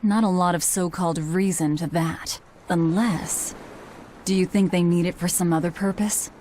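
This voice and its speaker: A woman's voice: lowish voice, fairly even intonation, normal speed, warm tone, slight background noise, lightly acted. A woman voicing a cynical, guarded young female avenger in a video game, terse and sardonic.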